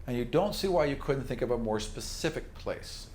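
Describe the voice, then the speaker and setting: A man's voice: medium pitch, expressive, normal speed, darkish tone. A man narrating a tabletop roleplaying game as its game master, improvising the descriptions as he goes. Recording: roomy sound with faint background noise.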